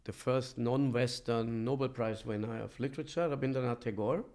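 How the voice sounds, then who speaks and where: A middle-aged man from Germany telling his story as an interview guest, with halting, thoughtful pauses. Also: mid-range voice, darkish tone. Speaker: podcast guest, professor and coach. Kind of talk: reflective conversational interview storytelling.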